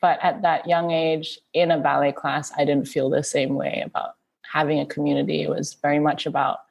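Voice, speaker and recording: A woman's voice: low-pitched, flat delivery, normal speed, gravelly. A woman in her thirties from Malaysia, talking casually as a guest in an interview. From Kuala Lumpur, Malaysia. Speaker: podcast guest, dance artist and teacher.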